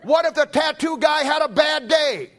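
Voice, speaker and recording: High-pitched middle-aged male preacher. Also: normal speed, a bit bright, faint music behind, a faint crowd. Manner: folksy, emphatic, repeats phrases. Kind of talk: sermon with anecdotes.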